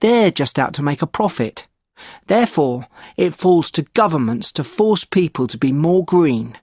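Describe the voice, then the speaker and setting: Measured man giving exam answers. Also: fairly high pitch, very animated, darkish tone, no echo, clean studio sound. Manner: measured, opinionated, with thoughtful hesitations. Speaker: sample exam candidate. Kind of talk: scripted exam answer reading.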